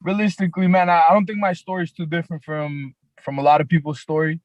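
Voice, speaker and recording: A raspy young man with a Toronto accent, a rapper guest talking in a laid-back, halting way with many fillers. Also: on the high side, little pitch movement, dry recording, highs cut off. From Canada, grew up in Toronto. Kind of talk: casual podcast interview.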